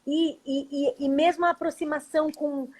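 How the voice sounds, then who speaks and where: Brazilian woman guest with a high voice. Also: steady tone, normal speed, a little mellow. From Brazil, Curitiba. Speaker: theatre director and playwright, interviewee. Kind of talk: casual interview chat. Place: video call.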